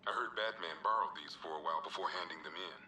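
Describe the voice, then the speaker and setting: An actor playing a gruff, world-weary American police officer, logging evidence for a video game in a terse, streetwise way with dry, wry asides. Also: male voice, low-pitched, fast talker, sharp tone, distant mic, slight background noise. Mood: dry, matter-of-fact. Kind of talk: scripted video game evidence log narration.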